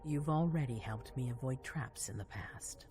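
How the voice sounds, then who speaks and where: An actress playing a composed, calculating vampire ruler in a video game cutscene, measured and authoritative. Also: female voice, extremely low pitch, warm tone. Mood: commanding, measured. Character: commanding vampire prince woman, composed, calculating, regal. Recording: faint music behind.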